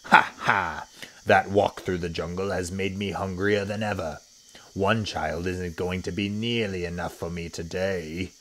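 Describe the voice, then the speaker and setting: A man's voice: low-pitched, steady tone, a little slow, sharp tone. A man doing a greedy, gloating crocodile. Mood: gloating, scheming. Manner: gloating, muttering to himself. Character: greedy boastful male crocodile, sly.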